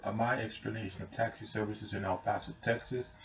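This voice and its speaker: Middle aged guy explaining stuff plainly, lowish voice, kinda far from a dull webcam mic. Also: steady tone, brisk. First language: Spanish is not his natural language; English speaker. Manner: plain-spoken, matter-of-fact, frequent throat clearing. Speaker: taxi driver explaining his service. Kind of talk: informal explanatory monologue. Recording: muffled webcam mic, distant.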